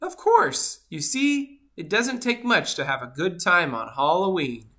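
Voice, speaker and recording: A kind and earnest pumpkin seed from a storybook, played by a woman. The voice is bright and sits a little low, with animated rises and falls. It is polite and encouraging throughout. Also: measured pace. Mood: pleased. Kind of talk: animated children's storybook read-aloud.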